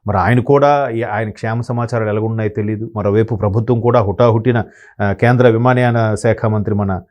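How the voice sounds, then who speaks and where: A man's voice: on the low side, fairly even intonation, fairly quick, highs cut off. A man speaking as an analyst guest, talking in a rambling, sombre way with many restarts. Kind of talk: conversational commentary.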